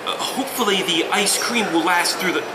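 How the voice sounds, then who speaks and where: A man's voice: sits high, brisk, natural voice. A man playing an anxious, polite suitor who frets and stammers, in an amateur skit read-through. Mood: flustered, nervous. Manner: fretful, hesitant, stammering. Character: flustered, anxious, polite male suitor hosting tea. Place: indoor convention lounge seating area. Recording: echoey noisy public lounge.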